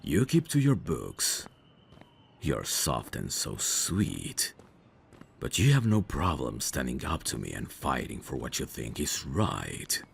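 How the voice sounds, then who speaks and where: A man playing a dangerous, flirtatious mafia boss in an ASMR roleplay, smugly protective, with a teasing, self-assured, unhurried delivery. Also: very deep voice, huge pitch swings, creaky, a little hiss or hum, heavily acted. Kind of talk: ASMR roleplay audio drama.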